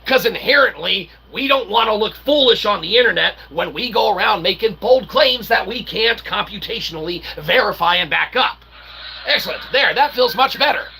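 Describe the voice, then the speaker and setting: A man with a creaky voice delivering a rambling philosophical monologue in verbose, mock-formal wording, constantly tagging phrases with "okay" and "all right". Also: high voice, animated, moderate pace, pushed and bright, rough recording. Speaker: independent researcher and channel host.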